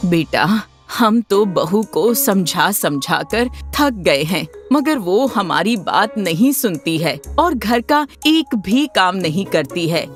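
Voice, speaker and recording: An exasperated middle-aged mother-in-law in a cartoon moral tale, put on by a woman. She scolds and nags with a very lively, swinging intonation over music. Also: mid-range voice. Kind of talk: Hindi cartoon moral story dialogue.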